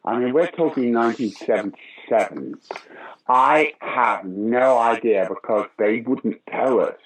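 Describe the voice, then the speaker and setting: An older man, a guest actor and comedian, telling stories in an interview with sudden loud bursts of enthusiasm. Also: mid-range voice, measured pace, poor audio. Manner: animated anecdotal storyteller with sudden loud bursts. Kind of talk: conversational interview storytelling.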